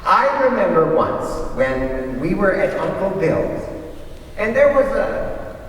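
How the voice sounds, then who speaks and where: A man telling a folksy story on stage with colloquial grammar, halting and animated. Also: sits high, full character voice. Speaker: readers theater storyteller. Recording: distant echoey hall with faint noise.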